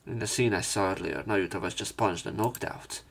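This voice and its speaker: A man's voice: sits low, moderate pace, creaky, breathy edge. A man reading visual novel narration aloud for a let's play.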